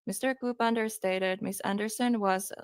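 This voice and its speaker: Finnish-accented woman with a gravelly voice. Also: medium pitch, fairly even intonation, moderate pace, no echo, studio quality. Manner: hesitant, many ums, reads quotes aloud. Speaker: true crime streamer. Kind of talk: casual live-stream case reading.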